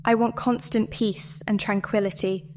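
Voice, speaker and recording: A woman delivering a scripted guided meditation and dramatic monologue in a measured, deliberate way with pauses. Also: mid-range voice, steady tone, darkish tone. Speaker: meditation narrator.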